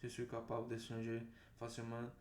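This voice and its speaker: Male vlogger, Brazilian accent, native Portuguese speaker, lowish-to-middle pitch, halting unscripted delivery with repeats, echoey room with faint noise. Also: monotone, darkish tone. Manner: halting, repeats words and phrases. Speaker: language vlogger. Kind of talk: unscripted monologue to viewers. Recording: roomy echo, faint background noise.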